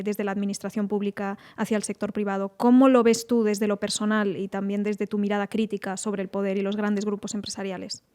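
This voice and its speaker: A woman from Catalonia hosting an interview and asking measured, steady questions. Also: medium pitch. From Catalonia, Spain. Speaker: interviewer host.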